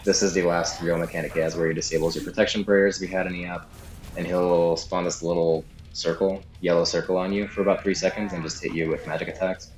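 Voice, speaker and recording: A man's voice: low voice, level tone, fast, darkish tone, a little hiss or hum. A man giving a casual, unscripted video game walkthrough, explaining as he goes and often unsure of details. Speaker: guide narrator. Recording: slightly echoey room, game music underneath. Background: game music.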